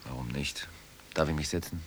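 A man's voice: rumbling low, moderate pace, a little hiss or hum. A man acting in a German radio drama as a wary captive dealer in his late thirties, speaking tersely and formally, full of suspicion. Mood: guarded. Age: 30s.

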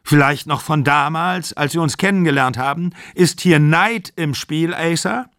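A man's voice: medium pitch, expressive, moderate pace, dry recording, clean studio sound. A man voicing a furious, bitter aging actor of sixty-five who rants and accuses. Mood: accusing. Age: 60s. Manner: ranting, accusing, shouting.

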